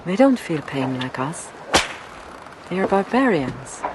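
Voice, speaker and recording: An actress playing a haughty, hard-hearted middle-aged merchant's wife, brusque and dismissive, in an audio drama. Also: female voice, sits low, big pitch swings, slow, exaggerated voice. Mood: cold, dismissive. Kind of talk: naturalistic radio drama acting. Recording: noisy outdoor ship ambience.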